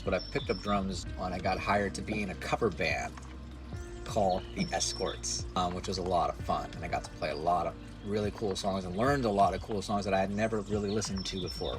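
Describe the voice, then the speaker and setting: Nasal deep-voiced man in his forties. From United States. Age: 40s. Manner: rambling, self-deprecating, chatty. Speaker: musician and content creator. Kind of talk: casual talk to camera.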